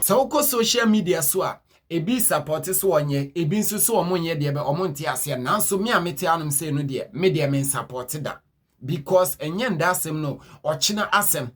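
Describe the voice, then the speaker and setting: A man narrating news in a steady reporting manner. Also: a little high, normal speed.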